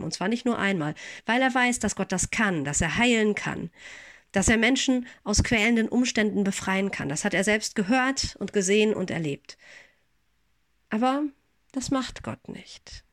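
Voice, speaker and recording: Woman pastor, middle-aged, calm and reflective devotional read, a touch congested, dry close sound. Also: on the high side, moderate pace, no echo. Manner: calm, gentle, reflective address to listeners. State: recovering from an infection, slightly congested. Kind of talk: spoken devotional.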